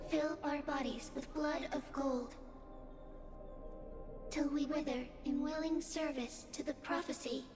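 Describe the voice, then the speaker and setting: An actress voicing a childlike little girl sage in a video game, encouraging and wise. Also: sits high. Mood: solemn recital. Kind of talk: scripted game voice acting. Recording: music clearly behind the voice. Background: background music.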